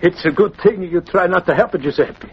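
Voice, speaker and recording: High-voiced, humble Italian immigrant man. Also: normal speed. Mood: earnest. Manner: broken English, refers to himself by name. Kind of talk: old-time radio drama acting. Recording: muffled, noisy old radio recording.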